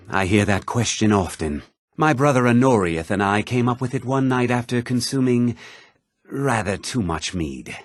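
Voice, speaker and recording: A self-important townsman from a fantasy video game. His husky voice swings widely in pitch and is recorded close and dry. He talks with theatrical, smug condescension. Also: no room sound. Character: haughty, self-important male townsman and merchant of a fantasy world. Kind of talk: video game character dialogue.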